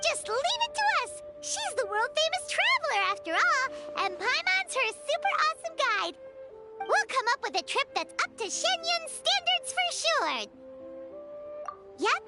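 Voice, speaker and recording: Childlike fairy companion, game voice, extremely high, squeaky, eager, lively swooping pitch, music underneath. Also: female voice, heavily acted. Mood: confident, upbeat. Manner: speaks of herself in third person, excitable. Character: small, chirpy, eager childlike female fairy guide companion. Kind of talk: video game voice acting. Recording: music playing behind the voice.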